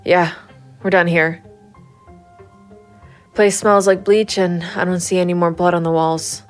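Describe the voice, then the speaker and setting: An actress playing a hard-boiled, brooding and quietly determined female private investigator in a gritty noir audio drama. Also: fairly low pitch, flat delivery, measured pace, faint music behind, natural voice. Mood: cold, resolute. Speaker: voice actor narrating and playing the lead of an audio drama. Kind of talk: gritty noir audio drama acting and first-person narration.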